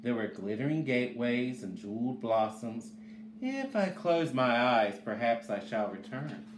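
A man's voice: medium pitch, big pitch swings, unhurried. Man doing a drowsy, grumbling old man, echoey. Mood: grumpy, wistful. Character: dreamy, grumpy old man roused from sleep. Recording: echoey room, faint background noise.

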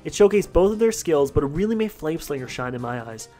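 A young man in his twenties reads a gaming countdown voice-over at a fast, eager clip. His voice sits a little high for a man. Faint game music plays beneath him. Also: animated, dark tone. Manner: enthusiastic, brisk reading. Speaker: gaming channel host.

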